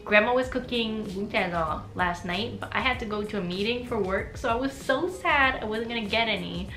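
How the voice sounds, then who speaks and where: Bright-voiced chatty woman vlogger. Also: fairly high pitch, moderate pace. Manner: chatty, frequent "like" fillers, laughs often. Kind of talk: casual personal vlog. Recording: roomy echo with faint music.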